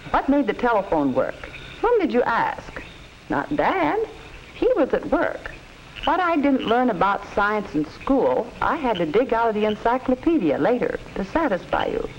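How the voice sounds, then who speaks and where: A practical American mom in a 1950s educational film. She reasons firmly in a fairly high, very melodic voice. The old soundtrack is dull. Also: big pitch swings, moderate pace, slight background noise. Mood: explaining. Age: middle-aged. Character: sensible, practical mother of two teenagers.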